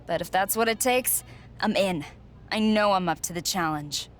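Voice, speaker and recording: A woman's voice: mid-range voice, normal speed, slight background noise, close to an everyday voice. A woman playing a determined, eager pro wrestler in conversational video game cutscene dialogue.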